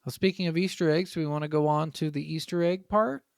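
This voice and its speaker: A man's voice: fairly high pitch, steady tone, fast talker, gravelly, smooth, no echo, clean studio sound. A man co-hosting a gaming podcast, chatting casually and informally and giving his opinions on video games.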